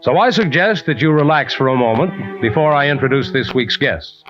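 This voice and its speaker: An actor playing a genial adventurer who introduces tales deliberately and folksily in 1940s radio style. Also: male voice, medium pitch, lively intonation, close to an everyday voice. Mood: inviting. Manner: deliberate, folksy, addresses listeners as friends. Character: genial adventurer storyteller introducing a weekly tale. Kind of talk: 1940s radio acting, hosting narration. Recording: dull old radio recording with music.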